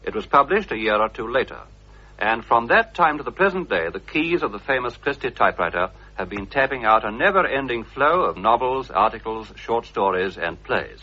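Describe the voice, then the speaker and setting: A man reading scripted documentary narration in a measured, formal storytelling manner, from a dull old radio broadcast. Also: medium pitch, expressive, sharp tone, husky.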